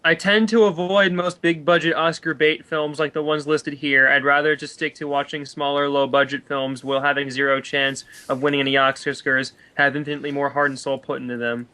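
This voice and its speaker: A man with a bright, forward voice pitched a little high, talking casually on a voice call. He debates films in an animated, exasperated manner. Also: brisk, few pauses. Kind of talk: casual chat, film debate on a group voice call.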